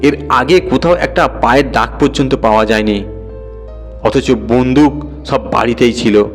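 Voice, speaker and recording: A man's voice: mid-range voice, moderate pace, in a large hall, soft background music, lightly acted. A man voicing a courteous, confident police inspector with an assured official manner in an audio story.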